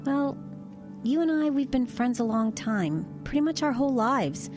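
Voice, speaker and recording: A woman's voice: high voice, lively intonation, normal speed, music in the background. A woman playing a level-headed truck driver in an eerie audio drama, talking in an everyday conversational way. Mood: confiding, reasoning. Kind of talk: audio drama dialogue and narration.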